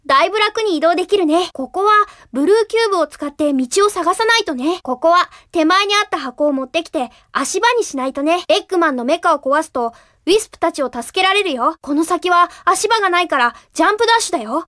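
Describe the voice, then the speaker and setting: Game role: helpful young boy fox guide, very high and quick, upbeat, recorded close and dry. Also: a bit bright, dry recording. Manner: friendly, clear step-by-step explaining, reassuring. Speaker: tutorial guide. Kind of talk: scripted video game hint narration.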